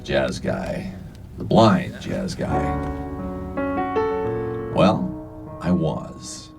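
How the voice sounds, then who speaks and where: Charming jazz entertainer, drawling stage patter; leisurely, expressive, prominent music underneath. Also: male voice, very deep voice, very slow, gravelly, distant mic, exaggerated voice. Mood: wry, pointed. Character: famous jazz pianist, charming, wry showman. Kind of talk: radio drama acting, stage patter. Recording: piano music behind the voice.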